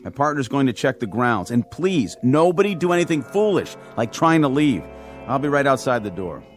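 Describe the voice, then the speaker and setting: Radio drama: male actor as level-headed trooper, mid voice, expressive, music behind. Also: medium pitch, moderate pace, lightly acted. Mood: firm, calming. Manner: calm, reasoning, authoritative. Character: steady, reasonable state trooper keeping order. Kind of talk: dramatic radio play acting. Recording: radio play with prominent music underneath.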